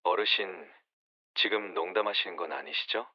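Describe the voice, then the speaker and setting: A man voicing a calm, formal emergency dispatcher heard over the phone in an audio drama. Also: low-pitched, moderate pace, slightly rough, no echo. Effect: phone line. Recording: dull phone-line sound.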